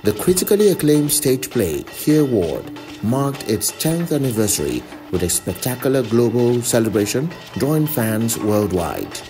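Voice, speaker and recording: A man's voice: mid-range voice, very animated, moderate pace, music in the background. A man reading a scripted news voice-over as a reporter.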